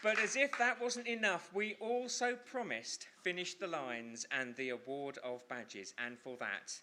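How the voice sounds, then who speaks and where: A man's voice: a little high, a little slow. A man hosting a live event on stage as compere, speaking in hesitant, rhetorically repeated phrases. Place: live storytelling event at a venue. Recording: live venue recording with background noise.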